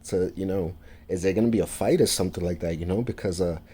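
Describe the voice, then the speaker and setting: Deep new guy rambling to camera, stops and restarts a lot, mellow low voice. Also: breathy edge. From New York, USA. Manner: halting, many fillers and restarts. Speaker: vlogger. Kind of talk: casual monologue to camera.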